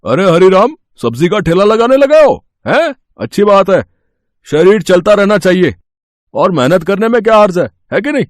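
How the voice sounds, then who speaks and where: Man acting a chatty, high-pitched bakery boss. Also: very animated, moderate pace, dry recording, clean studio sound. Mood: hearty, inquisitive. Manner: talkative, adds tag questions. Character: brisk, chatty bakery owner, male boss. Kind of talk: dramatised Hindi moral story acting.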